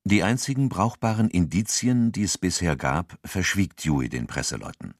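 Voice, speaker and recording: A man's voice: low-pitched, lively intonation, moderate pace, no echo, studio quality. A man narrating a radio drama from a script, in the role of storyteller.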